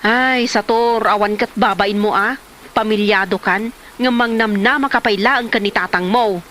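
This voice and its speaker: A woman's voice: mid-range voice, moderate pace, a bit bright, slight background noise, lightly acted. A woman acting a worn-down, thrifty wife of a gambler in an Ilocano drama. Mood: weary, pleading. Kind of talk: Ilocano comedy drama dialogue.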